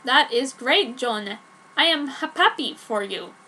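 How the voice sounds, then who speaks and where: A woman's voice: sits high, animated, unhurried, pushed and bright, heavily acted. Woman as a stilted, grumpy dad. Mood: awkward, approving. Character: grumpy, awkward middle-aged father of teenage girl. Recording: noticeable background noise.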